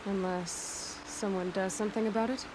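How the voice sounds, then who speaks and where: An actress playing a determined, self-reliant young huntress in a video game, speaking in a direct, guarded, earnest way. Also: female voice, mid-range voice, steady tone, moderate pace, noisy background, natural voice. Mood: wary, firm. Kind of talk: dramatic video game dialogue. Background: background music.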